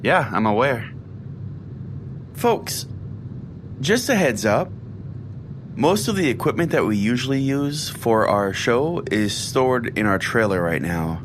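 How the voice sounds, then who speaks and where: A man's voice: mid-range voice, big pitch swings, slow pace, pauses often, noisy background. A man playing a self-important, sardonic conspiracy-preaching pastor who rambles chattily as host of an audio-drama show. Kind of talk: scripted audio-drama monologue as a talk show.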